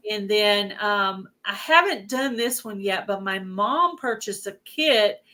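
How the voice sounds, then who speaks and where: Woman, host, casual live chat, chatty, filler words, bright tone. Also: medium pitch, animated, slow pace.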